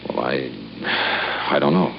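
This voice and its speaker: An actor playing a wry, wary American insurance investigator in 1950s radio detective style. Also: male voice, rumbling low, unhurried, gravelly, muffled recording, a little hiss or hum, exaggerated voice. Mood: wary, probing. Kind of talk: 1950s radio detective acting with first-person narration.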